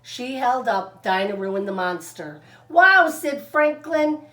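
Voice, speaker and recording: A woman narrating a children's storybook like a teacher, expressive and deliberate, stretching out words. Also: medium pitch. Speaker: kindergarten teacher reading stories to children. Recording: echoey room, faint music behind.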